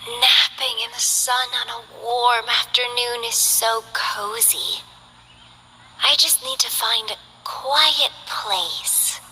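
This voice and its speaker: A woman's voice: fairly high pitch, steady tone, takes it slowly, sharp tone, in a large hall, soft background music, full character voice, low-quality recording. A woman voicing a languid, bored young mercenary who loves plants, in game character voice lines with a lazy, teasing drawl.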